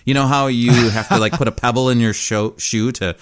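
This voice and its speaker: A man's voice: mid-range voice, steady tone, brisk, fairly bright tone, clear, resonant tone. A man co-hosting a podcast, chatting casually with a playful, joking manner. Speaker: podcast co-host. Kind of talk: casual chat. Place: home basement.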